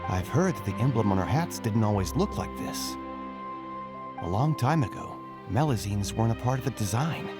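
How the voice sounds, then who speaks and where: An actor voicing an easygoing, joking city guard in a video game. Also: male voice, on the low side, animated, moderate pace, loud music behind the voice, natural voice. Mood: conversational.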